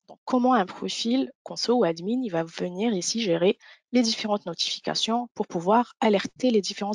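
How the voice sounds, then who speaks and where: A woman's voice: medium pitch, no echo. A woman presenting an instructional webinar walkthrough, explaining each thing step by step. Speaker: software demo presenter.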